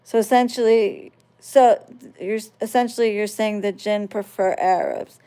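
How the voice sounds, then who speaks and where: A woman's voice: mid-range voice, fairly even intonation, unhurried, warm tone, smooth. A woman from the United States, casually talking to her viewers and often checking that they follow along. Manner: conversational, checks listeners understand. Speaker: spiritual channel host.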